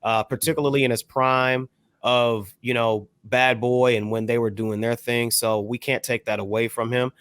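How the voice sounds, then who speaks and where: A man's voice: medium pitch, flat delivery, moderate pace, fairly bright tone, clear, resonant tone, no room sound. Man in casual debate, dry sound. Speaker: panelist on a hip hop discussion show.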